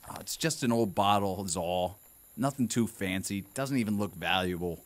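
A man's voice: sits low, moderate pace, lightly acted. Man playing an irritable small-time crook, faint noise. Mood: bossy, scornful. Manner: gruff, impatient, streetwise. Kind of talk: audio theater acting.

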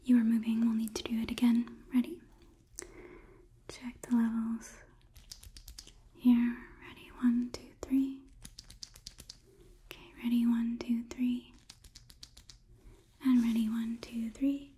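A woman in her twenties performing a whispered ASMR roleplay as a politely detached robot examiner, murmuring in a soft, unhurried, clinical manner. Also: fairly high pitch, little pitch movement, a little hiss or hum. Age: 20s. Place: small indoor studio set. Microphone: close microphone, on camera.

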